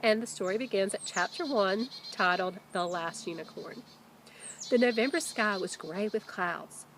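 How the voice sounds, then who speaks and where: A woman, a teacher reading a children's book aloud expressively, with deliberate emphasis. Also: medium pitch, fairly bright tone, slight background noise, poor audio. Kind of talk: children's storybook read-aloud.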